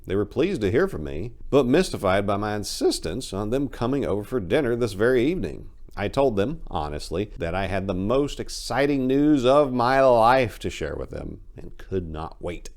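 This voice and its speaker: Low creaky-voiced guy doing a dramatic reading of a horror short story, kinda literary. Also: big pitch swings, moderate pace, hardly any pauses, darkish tone.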